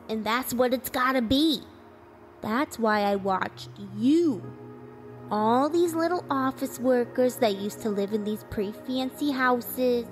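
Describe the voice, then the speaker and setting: A woman playing a cynical, defiant survivor of an alien invasion in a radio play, with blunt, folksy, clipped phrasing. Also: fairly high pitch. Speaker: actor in an amateur radio drama.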